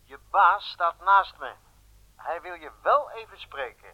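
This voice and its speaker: A male actor playing a brisk intelligence colleague on the telephone in a radio drama. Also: sits high, lively intonation, muffled recording. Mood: businesslike.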